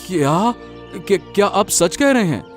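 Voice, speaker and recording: Male actor as humble cartoon villager, earnest, excitable, fairly high voice, animated intonation, music behind. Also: a little slow. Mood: hopeful, astonished. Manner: eager, wondering, repeats himself. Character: earnest, humble, excitable vegetable-seller son. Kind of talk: animated moral story dubbing.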